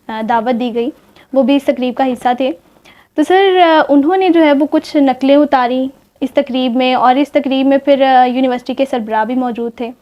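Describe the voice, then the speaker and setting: A woman presents a talk programme in a high-pitched voice. She pauses often as she frames her questions. The audio is a little muffled. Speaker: talk show host. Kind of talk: studio interview questioning.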